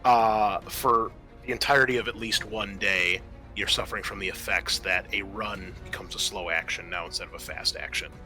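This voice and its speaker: Man, game master, explanatory and conversational, bright voice, tabletop narration, faint music. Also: low-pitched, steady tone, moderate pace. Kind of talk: narrating and running a tabletop horror roleplaying game.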